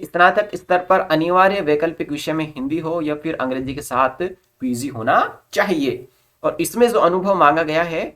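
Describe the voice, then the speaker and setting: A presenter reading out an official recruitment notice in an instructional way, restating formal terms in simpler words. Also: medium pitch. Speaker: presenter of job recruitment updates. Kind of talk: informational explainer reading out a notice with plain explanations.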